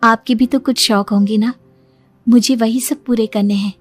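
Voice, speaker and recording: A woman voicing a dutiful, soft-hearted young daughter and bride in a moral story. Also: on the high side, fairly even intonation, normal speed, lightly acted. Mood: pleading, affectionate. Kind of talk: moral story character dialogue. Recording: music behind the voice.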